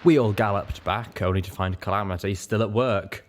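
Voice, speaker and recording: A man's voice: fairly low pitch, moderate pace, a little hiss or hum. A man narrating a first-person fantasy story as a dramatic audiobook reader.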